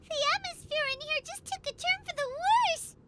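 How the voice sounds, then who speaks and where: A woman voicing a tiny, excitable, childlike fairy companion in a video game, squeaky and dramatic. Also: normal speed, heavy background noise. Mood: alarmed. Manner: exclamatory, refers to self in third person. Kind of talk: animated video game voice acting. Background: game music.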